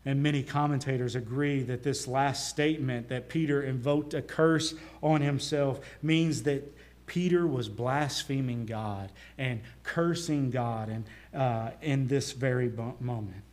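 A man with a Southern accent delivers a sermon from the pulpit, his pitch sitting in an ordinary middle range. He preaches earnestly, pressing louder on key phrases and falling soft at the ends of thoughts. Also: unhurried. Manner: earnest preaching with rising emphasis and quiet drops. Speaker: pastor. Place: church.

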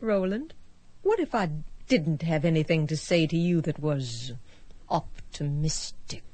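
A woman's voice: sits low, expressive, a little slow, warm tone. An actress playing an enigmatic, composed female space traveler with an arch, knowing, polite manner in a radio drama. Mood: probing.

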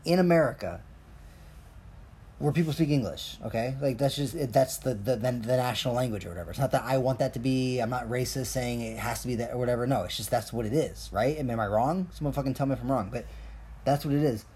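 A man's voice: medium pitch, quick pace, a little mellow, a little hiss or hum. A young man, originally from Colombia, rambling in a profane, jokey, self-mocking rant as a podcast host. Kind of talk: casual rambling monologue.

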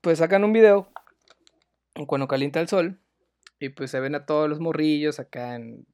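Mexican dude cohosting, super slangy, says güey nonstop, kinda high voice. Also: male voice, normal speed, a little mellow, clean tone. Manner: slangy, says "güey" a lot, drops to a mutter. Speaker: podcast co-host. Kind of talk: casual chat reviewing a TV series.

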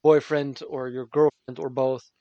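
A man's voice: mid-range voice, flat delivery, unhurried, dark tone, no room sound. A man with a Dutch accent chatting casually, rambling through fillers and breaking into giggles. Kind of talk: casual chat. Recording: dull, dry lo-fi recording.

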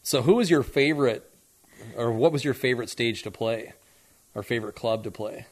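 A man's voice: mid-range voice, moderate pace. A male podcast host with an everyday mid-range voice. He chats loosely with his guests and slips in teasing jokes. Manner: teasing, chatty interviewer. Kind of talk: casual interview chat.

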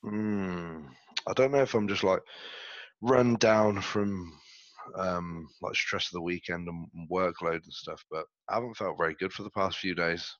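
A man's voice: sits low, a little slow, a bit bright, no echo, dull audio. A man co-hosting a casual podcast while stuffy and under the weather, talking in a laid-back, slangy, rambling way. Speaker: podcast co-host. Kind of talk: casual chat. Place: reclined in a chair at home. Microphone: headset.

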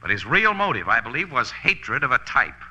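An actor playing a brash, slangy American newspaper photographer in a punchy 1940s radio drama style. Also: male voice, mid-range voice, sing-song, normal speed, pushed and bright, full character voice, low-quality recording. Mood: thoughtful. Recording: old radio broadcast recording.

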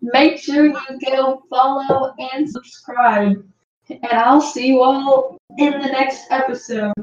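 Podcast guest, woman, slow and careful, somewhat high voice, roomy lo-fi sound. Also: steady tone, crowd noise. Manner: slow, spells things out carefully. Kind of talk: casual podcast chat.

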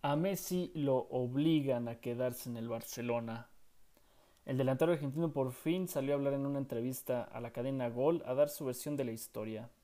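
A young man hosts a scripted sports commentary show. His voice is mellow and warm, at a middle pitch. He argues his opinions and keeps posing rhetorical questions. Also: moderate pace. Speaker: sports podcast host.

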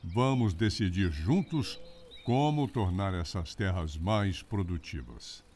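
Greedy, self-satisfied Brazilian squire, fifties, animated old-fashioned speech, radio drama. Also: male voice, deep voice, slow pace, a little hiss or hum. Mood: businesslike, smug. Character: boastful, greedy fifty-year-old male landowner, self-satisfied bridegroom. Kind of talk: radio soap opera acting.

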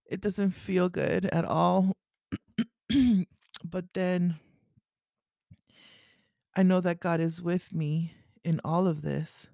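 A woman with a raspy voice speaking haltingly and earnestly in a confessional, faith-based podcast monologue. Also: fairly low pitch, steady tone, warm tone, no room sound, studio quality. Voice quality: raspy early-morning voice. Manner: halting, earnest, with throat clearing. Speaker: podcast host.

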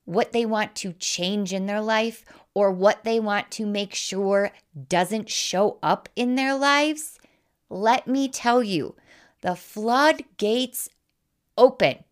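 Female podcast coach, Midwestern US accent, mid-pitched, slow-paced, informal and emphatic. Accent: American Midwestern. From Wisconsin, American Midwest. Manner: candid, informal, emphatic, casually sweary. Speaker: life coach, podcast host. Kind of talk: conversational podcast monologue, persuasive.

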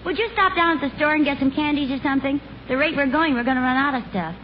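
A woman's voice: high-pitched, fast, close to an everyday voice. An American woman playing a practical suburban housewife in an old-time radio sitcom, dry, teasing and matter-of-fact. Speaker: actress in a radio comedy. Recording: dull old radio broadcast, faint noise.

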